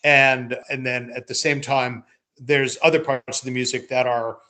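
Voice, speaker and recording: A man with an average-pitched voice in casual podcast conversation. He speaks reflectively and haltingly, often repeating words as he thinks. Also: level tone, pushed and bright. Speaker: podcast host, interviewer. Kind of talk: casual chat.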